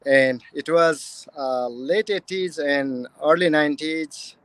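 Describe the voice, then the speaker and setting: A man from Nepal with a Nepali accent, giving interview answers slowly in plain, broken English. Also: fairly high pitch, fairly bright tone, no room sound. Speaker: guest.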